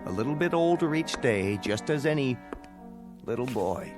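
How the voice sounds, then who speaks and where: An actor in a radio drama playing a stern, vengeful scientist with a measured, formal, sardonic delivery. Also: male voice, medium pitch, animated, lightly acted. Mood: scornful, stern. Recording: music bed under the voice.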